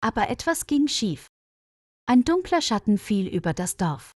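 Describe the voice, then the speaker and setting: A woman reading a scripted mystery story for language learners in a measured, careful storyteller's delivery. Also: medium pitch, big pitch swings, a little mellow, no room sound, studio quality.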